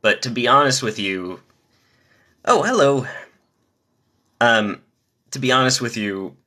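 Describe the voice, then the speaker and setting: A young man reading joke after joke on a live stream, pitching his voice low from the diaphragm to spare his nasal voice. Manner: rapid-fire joke delivery, lively.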